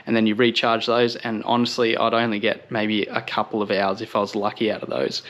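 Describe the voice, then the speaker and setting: An Australian man talks viewers through a product in a casual review style. His voice sits at a medium pitch. He sounds upbeat and approving as he explains things in everyday language.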